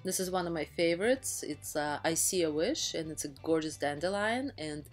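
A woman's voice: sits low, normal speed. A woman in her thirties narrating a travel vlog in a casual, enthusiastic voice-over, with frequent fillers and restarts. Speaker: travel vlogger. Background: faint background music.

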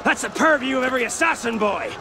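A mocking old male assassin from an action game, gravelly and coarse. His shouted taunts run very high and swoop wildly over clear outdoor noise. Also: quick pace. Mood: mocking, goading.

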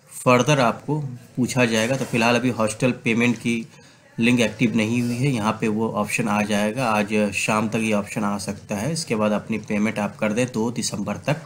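A man with a fairly low voice narrates a screen-share walkthrough as a channel host. His delivery is steady and explanatory, reading out numbers and names one at a time. A faint hum sits behind the voice-over. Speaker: channel host giving admission updates. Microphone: voice-over recorded apart from screen capture.

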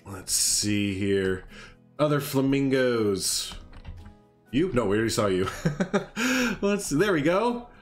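A man's voice: medium pitch, sing-song, slow, long pauses, soft background music. A man with a congested, nasal voice hosting a casual live stream, chatting in a rambling way with lots of fillers. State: congested, stuffed up. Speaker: streamer hosting a game showcase.

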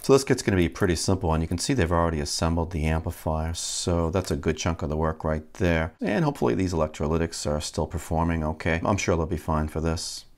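A man's voice: low-pitched, fast talker, few pauses. A man hosting a hands-on unboxing, casually narrating each step as he works without hurry. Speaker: host of a vintage electronics channel.

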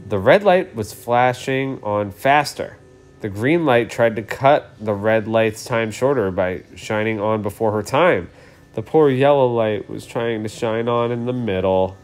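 A man in his thirties explaining things simply and reading a storybook aloud for children. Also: mid-range voice. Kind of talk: casual introduction and storybook read-aloud.

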